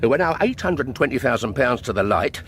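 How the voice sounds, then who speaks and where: A veteran police detective of middle age in a radio drama, pompous and sure of himself. His intonation swings widely over clear vehicle noise. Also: mid-range voice, sing-song, fast, fairly bright tone. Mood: sardonic. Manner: pompous, wry.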